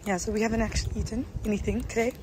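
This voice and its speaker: A young woman chatting casually to camera as a vlogger, excitable and given to exclaiming. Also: on the high side, rapid-fire, noisy background. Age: young adult. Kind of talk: casual vlog chat to camera. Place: outdoors in busy city streets and stadium. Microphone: phone at arm's length. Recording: loud crowd and music behind.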